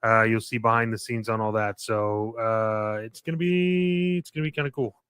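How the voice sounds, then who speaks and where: A man's voice: on the low side, fairly even intonation, normal speed, a bit bright, clear, resonant tone, no room sound. A man co-hosting a podcast in casual promotional chat, informal and loose, feeling his way through his phrasing. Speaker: podcast co-host.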